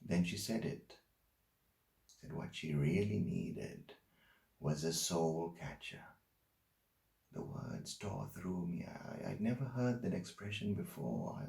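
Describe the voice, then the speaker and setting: A man's voice: low voice, creaky, a little mellow, exaggerated voice. A man playing an unsettling, haunted man who stammers through an intense dramatic monologue about his troubled life. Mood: brooding, hushed. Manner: halting, stammering, intense storytelling. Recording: roomy, echoing room.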